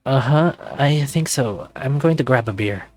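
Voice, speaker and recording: A man's voice: medium pitch, normal speed, close to an everyday voice. A man voicing a blunt, laid-back young male newcomer in a visual novel.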